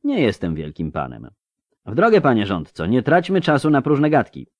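A man's voice: mid-range voice, wildly swooping pitch, normal speed, darkish tone, dry recording, highs cut off, voice pushed up. A man voicing a curt, commanding monster hunter with a terse, cold, dry manner in an audiobook. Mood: impatient.

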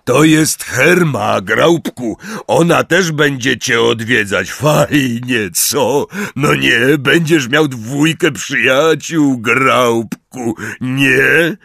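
A big, hearty storybook giant-tamer, acted out by a male narrator. He bellows in a raised, strained pitch, coaxing and blustering fondly. The words come slow and stretched, in a dry close recording. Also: gravelly, no room sound, heavily acted. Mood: eager, coaxing. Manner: loud, shouted, coaxing, drawn-out words.

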